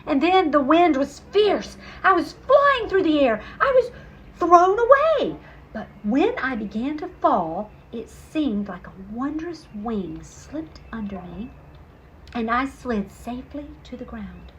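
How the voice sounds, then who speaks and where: Woman as an earnest, high-voiced young cat. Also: big pitch swings, slow pace, a little hiss or hum, full character voice, low-quality recording. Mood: frightened, dramatic. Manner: earnest, wondering storyteller. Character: Pearl, gentle thrown-away young female cat.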